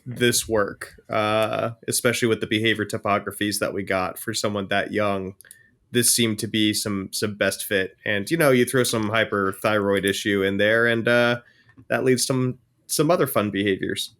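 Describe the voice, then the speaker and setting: A man's voice: on the low side, steady tone, moderate pace, fairly bright tone. A man talking casually as a co-host in a podcast conversation.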